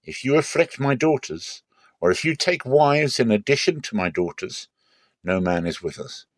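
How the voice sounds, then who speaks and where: A man's voice: medium pitch, expressive, moderate pace, dry recording, voice pushed up, natural voice. A stern, possessive old patriarch played by a man declaims in a dramatic scripture reading. He is emphatic and insistent, with his voice lifted above everyday talk, in a dry recording. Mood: solemn warning. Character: possessive, stern older father-in-law patriarch.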